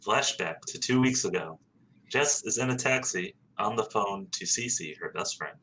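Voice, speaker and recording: A man's voice: on the low side, lively intonation, normal speed, bright, forceful tone, slight background noise, rough recording. A man reading out stage directions as scripted narration at a table read.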